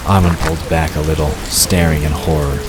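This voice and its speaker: A man's voice: a bass voice, level tone, brisk, very noisy. A man narrating a scripted fantasy story as the narrator of an audio drama.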